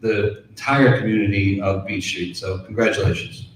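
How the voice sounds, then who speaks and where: A man's voice: fairly even intonation, normal speed. A man chairing a school board meeting, introducing speakers with brief formal hand-offs. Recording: echoey room from far off, faint rumble.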